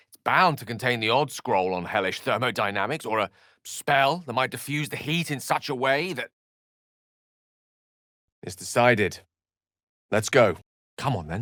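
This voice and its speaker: A man's voice: fairly low pitch, fairly bright tone, dry recording, studio quality. A man voicing an eloquent, bookish wizard in a video game, articulate and theatrical, with ornate scholarly phrasing and a wry streak. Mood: wry, conversational. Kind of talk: scripted video game character dialogue.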